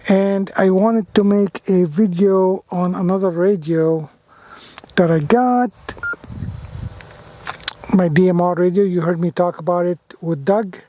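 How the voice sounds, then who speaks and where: A man's voice: sits high, fairly even intonation, unhurried, long pauses, mellow, low-quality recording. A man vlogging casually about amateur radio gear, talking enthusiastically and often repeating his phrases. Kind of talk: casual product demo and radio operating.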